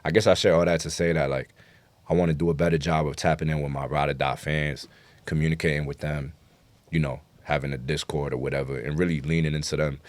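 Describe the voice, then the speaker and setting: A man's voice: a bass voice, level tone, quick pace, no room sound. A man talking casually as a rapper guest in an interview, slangy, profane and self-assured. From New York City, United States.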